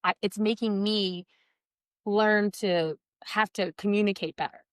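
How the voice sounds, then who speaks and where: Fields. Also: female voice, mid-range voice, fairly even intonation, a little slow, clean tone, no room sound, studio quality. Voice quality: nasal. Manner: chatty, joking, self-mocking. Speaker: podcast co-host. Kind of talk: casual podcast chat.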